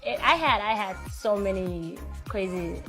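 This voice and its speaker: A woman's voice: mid-range voice, expressive, measured pace, over music. A fashion model in her twenties speaking earnestly in an interview. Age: 20s. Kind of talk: interview testimony.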